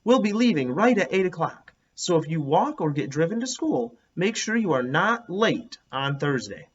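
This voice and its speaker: A man playing a calm, practical male schoolteacher in a children's storybook read-aloud. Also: a little high, moderate pace, dull audio, a little hiss or hum, voice pushed up. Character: calm male elementary school teacher organizing his class.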